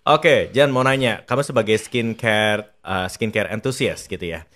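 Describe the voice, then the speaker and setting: A man's voice: lowish voice, normal speed, fairly bright tone. A man hosting a casual interview, asking prompting questions and agreeably affirming the answers. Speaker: podcast host, interviewer.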